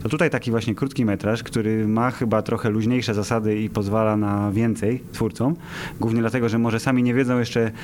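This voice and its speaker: A man's voice: on the low side, fairly even intonation, brisk, a little mellow, a little hiss or hum. A man co-hosting a podcast, discussing films in an informal, wry way. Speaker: podcast co-host. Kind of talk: casual film discussion.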